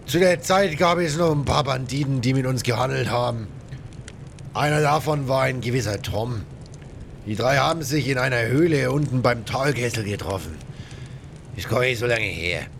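A man voicing a rough, chatty pirate in a game audio drama, rambling in coarse colloquial speech with grudges. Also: moderate pace, natural voice. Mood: gruff, aggrieved. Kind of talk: acted game dialogue, colloquial storytelling. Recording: faint rumbling background noise.

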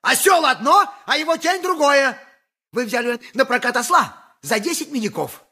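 A grumbling, pious donkey driver from a theatrical radio play. His voice is very high for a man, bright and swinging widely in pitch. He blusters and haggles like an aggrieved peasant. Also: animated, normal speed, breathy edge, full character voice. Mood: indignant, haggling.

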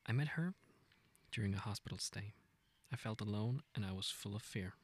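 A man leading a guided meditation, speaking gently and informally in an unhurried, intimate way. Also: on the low side, expressive. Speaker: meditation guide, podcast host.

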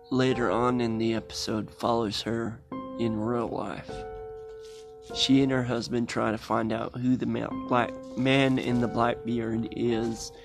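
A man hosting a podcast, reading a scripted introduction in deliberate, halting phrases. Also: medium pitch, vocal fry. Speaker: podcast host. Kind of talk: scripted introduction of old radio plays. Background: music.

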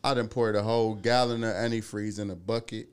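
A man in his thirties from the Cleveland area telling animated, slangy stories in casual chat, swearing freely. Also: lowish voice, fairly quick, clean tone. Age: 30s.

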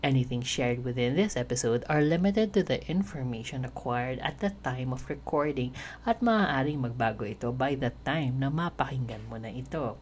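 A Filipino woman with a strikingly low voice hosts a chatty podcast. She talks with bursts of energy and frequent laughter. A faint noise sits under the recording. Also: moderate pace. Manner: energetic, mixes Tagalog and English, laughs often. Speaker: podcast host. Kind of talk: casual podcast chat.

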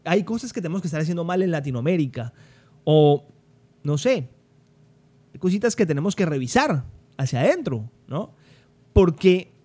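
A young man from Cali speaks with a Colombian accent, slightly high for a man yet mellow and dark in tone. He talks emphatically and conversationally, often circling back over his own words as he hosts a solo podcast. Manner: emphatic, conversational, repeats words while thinking. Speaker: podcast host.

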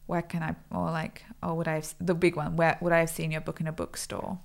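Tired, gravelly woman co-hosting a podcast. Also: sits low, little pitch movement, fast. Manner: easygoing, laughs often. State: low energy, tired. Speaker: podcast co-host. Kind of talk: casual chat.

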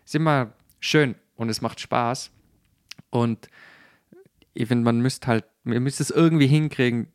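A man's voice: medium pitch, measured pace, clean tone, no room sound, studio quality. A man chatting casually on a podcast, colloquial and searching for his words. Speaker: podcast host.

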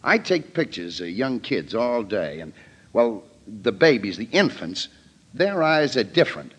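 An actor playing an earnest, defensive photographer questioned as a witness, hesitant, in 1940s radio drama style. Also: male voice, medium pitch, sing-song, measured pace, fairly bright tone, husky, exaggerated voice. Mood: slow recollection.

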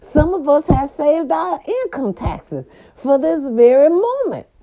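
A grandmother from California talking casually to her viewers, animated and chatty, with salty slang and lots of "right, right" fillers. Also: high-pitched, a little slow. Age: older. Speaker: vlogger. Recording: dull lo-fi sound.

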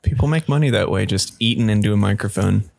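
A man with a deep, gravelly voice co-hosting a podcast, laconic and sarcastic. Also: fast talker, a little mellow, no echo. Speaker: podcast co-host.

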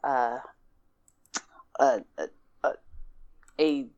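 A woman's voice: very deep voice, drawn-out words, darkish tone, lo-fi sound. A woman co-hosting a podcast, chatting casually about games and rambling as she searches for words. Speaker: podcast co-host. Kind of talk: casual gaming discussion.

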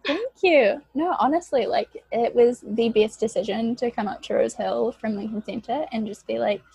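A woman's voice: on the high side, normal speed, vocal fry, mellow, slightly rough, no room sound, poor audio. A young woman in her twenties with a New Zealand accent, chatting casually as a guest on a podcast and filling her speech with "like". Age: 20s.

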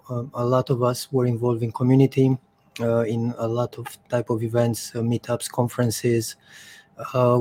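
A man hosts a podcast over an online call, pausing on fillers and restarting his sentences. His voice is mellow and even-toned. A faint noise sits under the recording. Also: mid-range voice. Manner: halting, many fillers and restarts. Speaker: podcast host.

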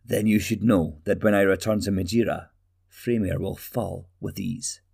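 A man's voice: low voice, steady tone, moderate pace, gravelly, darkish tone, slightly rough, heavily acted. A man playing a haughty warrior king who mocks his rival and commands his army. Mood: mocking.

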